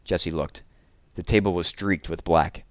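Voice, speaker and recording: Man, low voice, expressive storyteller, children's book read-aloud, dry close sound. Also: normal speed, clear, resonant tone, no room sound.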